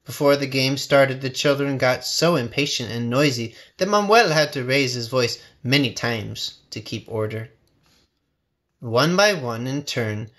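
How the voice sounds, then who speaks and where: A man narrating a children's storybook with expressive, measured phrasing. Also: mid-range voice. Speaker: storybook reader.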